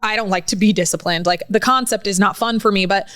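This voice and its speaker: A young woman co-hosting a podcast in casual chat, animated and quick to laugh. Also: medium pitch, no echo, studio quality. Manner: animated, laughs often, quotes herself in raised voice. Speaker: podcast co-host.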